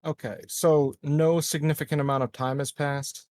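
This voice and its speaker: A man's voice: a little high, little pitch movement, moderate pace, a little mellow, no echo, studio quality. A man playing a tabletop game, casually asking questions and repeating words as he forms them. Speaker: tabletop roleplaying player.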